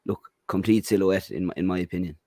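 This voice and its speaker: Low-voiced Irish man, blunt and opinionated. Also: steady tone, quick pace, mellow, no room sound, studio quality. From Ireland. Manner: opinionated, frequent "um" and "you know". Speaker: podcast panelist. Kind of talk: casual football podcast chat.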